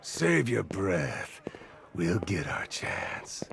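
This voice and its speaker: A man's voice: sits low, sing-song, extremely slow, fairly bright tone, slight background noise, full character voice. An American man playing a bitter, menacing, disfigured crime boss in a video game, with a growling, taunting, brooding delivery. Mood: defiant. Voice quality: gravelly, growling. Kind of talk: video game villain acting.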